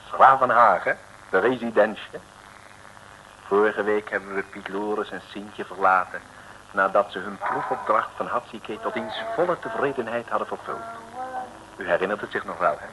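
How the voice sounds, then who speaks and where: A man's voice: lowish voice, normal speed, natural voice. A male narrator of an old radio serial, telling the story in a chatty, wry way straight to the listener. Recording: old radio recording with faint noise.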